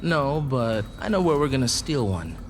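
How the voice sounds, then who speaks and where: A man's voice: medium pitch, sing-song, normal speed, lightly acted. A man playing a young, streetwise, helpful gang sidekick in a video game cutscene. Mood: cautioning, confident. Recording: clear outdoor boat and vehicle noise.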